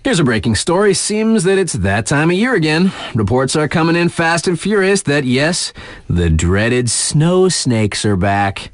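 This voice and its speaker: A man's voice: fairly high pitch, big pitch swings, measured pace, no room sound. A man playing a laid-back, wisecracking radio DJ in a video game, reading local bulletins in a casual, mock-serious way. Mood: breezy, mock-serious. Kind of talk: breezy radio news bulletin, ad-libbed asides.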